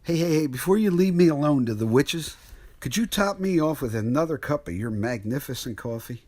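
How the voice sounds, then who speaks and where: An actor playing a weary, skeptical truck driver with a husky, folksy, colloquial way of talking in a radio mystery drama. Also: male voice, on the high side, expressive, a little fast, a little mellow. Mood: amused, skeptical.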